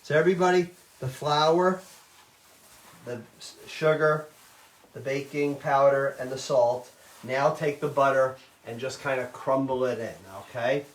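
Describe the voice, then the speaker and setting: A middle-aged man teaching a live online baking class, talking loudly and chattily and checking in with "okay?" all the time. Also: medium pitch, fairly even intonation, measured pace. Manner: loud, chatty, says "okay?" often. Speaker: cooking instructor. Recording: roomy kitchen sound, mic at a distance.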